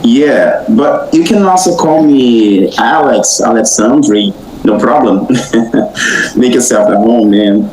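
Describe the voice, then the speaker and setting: A man's voice: mid-range voice, slow. A tired man in his forties with a Brazilian accent, chatting casually in hesitant learner's English. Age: 40s. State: sleepy, tired. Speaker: English learner in a group meeting. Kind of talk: casual self-introduction. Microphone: video call. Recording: echoey online call, faint noise.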